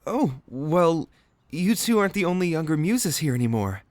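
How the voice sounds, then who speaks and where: A performer voicing a soft-spoken, polite young temple singer in a fantasy audio drama, formal and a bit hesitant, often sighing. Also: mid-range voice, very animated, normal speed, no echo, close to an everyday voice. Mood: earnest. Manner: gentle and formal, with frequent sighs and hesitant fillers. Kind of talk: fantasy audio roleplay acting.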